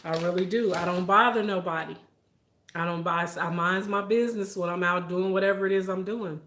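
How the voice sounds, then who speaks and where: Young woman, deep-ish voice, chatty and animated, kinda echoey room. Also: fairly quick. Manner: animated, laughing, talks straight to viewers. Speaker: vlogger. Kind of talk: casual storytelling to viewers.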